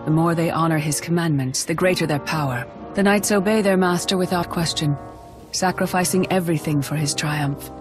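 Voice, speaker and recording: An actress playing a calm, wise mystic mentor in a video game, speaking in a measured, earnest way. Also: female voice, low-pitched, lightly acted. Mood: earnest, serene. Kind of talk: dramatic video game acting. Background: background music.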